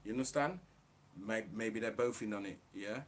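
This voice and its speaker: A man's voice: on the low side, moderate pace, a little hiss or hum. A man ranting on a live stream, in a profane, preachy, fired-up way.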